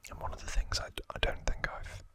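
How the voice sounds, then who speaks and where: A man whispering an ASMR recap in a breathy, unvoiced voice, explaining things in a hesitant, self-correcting way. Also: fast, a bit bright. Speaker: host of an ASMR trivia channel.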